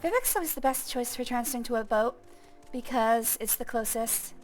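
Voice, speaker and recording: Chatty high-voiced woman gaming. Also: moderate pace, soft background music. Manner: chatty, self-deprecating, thinks aloud. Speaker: gaming streamer. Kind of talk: casual gameplay commentary.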